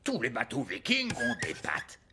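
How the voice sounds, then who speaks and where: Playful, crafty elf for animation: low register, wildly animated intonation, mock-sweet. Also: female voice, moderate pace, music in the background, exaggerated voice. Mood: sly. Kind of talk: animated children's cartoon dub.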